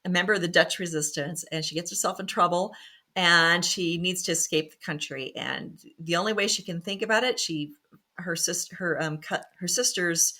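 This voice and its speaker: A woman's voice: fairly low pitch, pushed and bright. A woman author, a former pharmacist, talking casually in an interview with many fillers and restarts and easy laughs.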